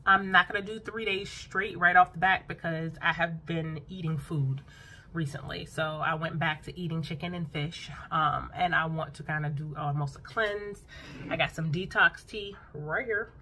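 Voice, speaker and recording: A woman's voice: sits low, normal speed, sharp tone, slight background noise. A woman in her thirties chatting casually to her phone camera from a bedroom at home, laughing often and calling her viewers y'all. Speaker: vlogger. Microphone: phone camera close up.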